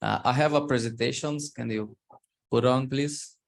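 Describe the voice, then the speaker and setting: A man from Brazil speaking English with a Brazilian Portuguese accent, presenting his farm in a halting manner. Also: mid-range voice, vocal fry. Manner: halting, searching for words in a learned language. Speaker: farmer presenting. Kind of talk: slide presentation on a call.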